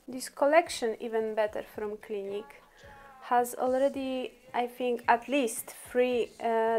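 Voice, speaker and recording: A woman whose first language is Polish, chatting through a makeup tutorial with many fillers and laughs. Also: a little high. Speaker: beauty vlogger.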